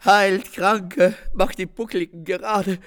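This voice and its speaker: An actor playing a matter-of-fact, officious police officer in a German radio play, with a wry manner. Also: male voice, high-pitched, fairly even intonation, slow pace, a bit bright, full character voice.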